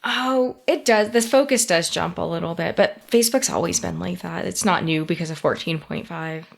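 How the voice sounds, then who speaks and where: A woman's voice: lowish voice, normal speed, vocal fry. A woman co-hosting a tech podcast, talking in a casual, conversational style. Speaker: podcast co-host.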